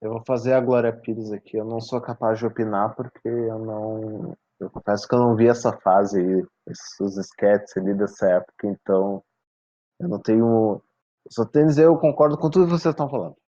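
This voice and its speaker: Mellow, low Brazilian man, slightly muffled. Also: a little fast, no echo. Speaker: panelist on a fan roundtable. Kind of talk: casual chat.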